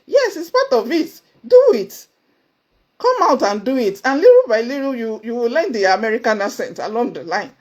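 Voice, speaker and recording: A woman with a Nigerian accent giving a motivational talk to camera, earnest and emphatic, with sudden high exclamations. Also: very animated, moderate pace, darkish tone. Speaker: motivational speaker. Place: indoors, seated before camera.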